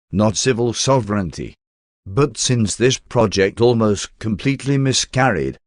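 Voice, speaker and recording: Male audiobook-style narrator, medium pitch, measured and formal delivery, dry studio-like sound with no room echo. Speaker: narrator reading a religious text aloud.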